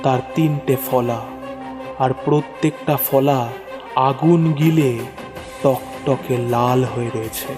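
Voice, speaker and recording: A man's voice: medium pitch, steady tone, slow, long pauses. Reverb-drenched man narrating horror. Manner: suspenseful, theatrical, repeats phrases for effect. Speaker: narrator of horror audio stories. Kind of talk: dramatic first-person horror story narration. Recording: long reverb over prominent music. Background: music.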